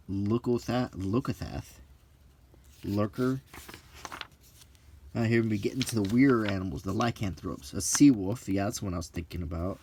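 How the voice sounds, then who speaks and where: A middle-aged man giving halting, offhand commentary as he leafs through books, listing items one by one with casual opinions. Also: fairly low pitch, gravelly, a little mellow, slight background noise. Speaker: presenter of a hobby video. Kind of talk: unscripted casual commentary while leafing through books, unintentional ASMR.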